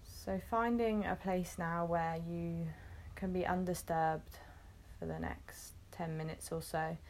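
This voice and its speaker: A woman guiding a meditation, gently instructing in short phrases with long, unhurried pauses. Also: lowish voice, animated, a little hiss or hum. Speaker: meditation guide. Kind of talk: guided meditation, soothing instruction.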